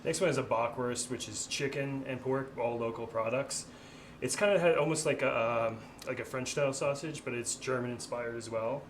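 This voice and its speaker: A man's voice: mid-range voice, moderate pace, a little hiss or hum. Matter-of-fact male chef. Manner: matter-of-fact, explains plainly. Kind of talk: casual studio interview.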